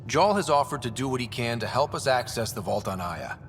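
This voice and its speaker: Animated, easygoing young space leader. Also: male voice, medium pitch, brisk, soft background music. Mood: earnest. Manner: casual, conversational. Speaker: video game voice actor. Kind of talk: naturalistic game dialogue.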